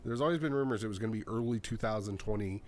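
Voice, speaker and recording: A man's voice: lowish voice, racing. A man co-hosting a podcast, telling stories and news in a conversational way, acting out bits of quoted dialogue. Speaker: podcast co-host. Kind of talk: casual banter and storytelling.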